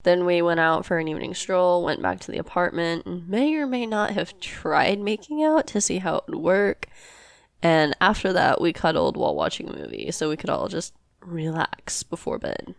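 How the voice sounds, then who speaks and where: A woman plays a devoted, easygoing girlfriend in an audio drama. Her voice is slightly low and gravelly with fry. She talks casually and reassuringly, in a very dry recording. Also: very animated, moderate pace, no echo. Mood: amused, casual. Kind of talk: amateur audio roleplay acting.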